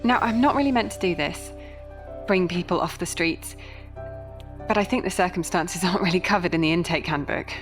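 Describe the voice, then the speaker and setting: A woman's voice: lowish voice, a little fast, over music, lightly acted. A woman playing a proper, kindly Victorian nurse in an audio drama, matter-of-fact and chatty. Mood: reassuring.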